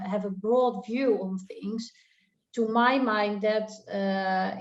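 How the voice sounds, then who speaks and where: A woman's voice: medium pitch, darkish tone. A middle-aged woman with a Dutch accent from the Netherlands, speaking as a panelist in a roundtable discussion, hesitant with many fillers. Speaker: tax partner, panelist. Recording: roomy, dull lo-fi sound.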